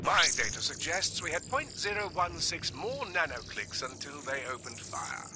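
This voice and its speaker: An actor voicing a fussy, precise robot scientist in a video game, speaking in a formal, wordy, clinical manner. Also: male voice, on the high side, sing-song, moderate pace, background noise. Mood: precise, composed. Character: fussy, precise male robot scientist, calm mission adviser.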